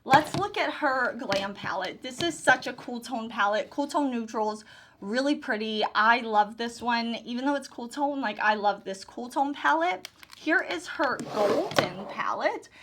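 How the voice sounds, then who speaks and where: A woman's voice: a little high, animated, unhurried, noisy background. Gushing woman reviewing makeup palettes. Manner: gushing, enthusiastic, repeats phrases. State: hoarse, recovering lost voice. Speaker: beauty YouTuber. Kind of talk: casual product overview.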